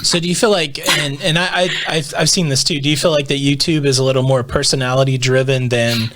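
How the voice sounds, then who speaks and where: A man's voice: mid-range voice, fairly even intonation, quick pace, a bit bright. A man hosting a podcast interview who asks long, rambling questions. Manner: thoughtful, rambling questions with fillers. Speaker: podcast host. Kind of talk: conversational interview.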